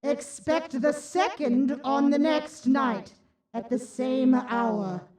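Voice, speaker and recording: A woman's voice: sits high, darkish tone. A woman playing a solemn, mournful ghost in a radio drama, grave and portentous, giving a warning. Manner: slow, grave, portentous delivery. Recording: roomy echo, far from the mic.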